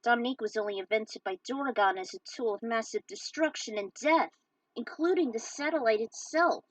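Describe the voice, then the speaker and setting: A woman reading fan fiction aloud over gameplay, switching between halting narration and chatty asides. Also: a little high, dry recording, poor audio. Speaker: fan fiction reader and game player.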